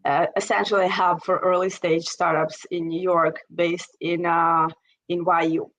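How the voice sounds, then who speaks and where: A woman's voice: on the low side, level tone, moderate pace, fairly bright tone, low-quality recording. A middle-aged woman with an Israeli accent, a guest speaking casually on a video call and often saying "you know". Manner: conversational, many "you know" fillers. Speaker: interview guest, investor. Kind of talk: casual webinar conversation.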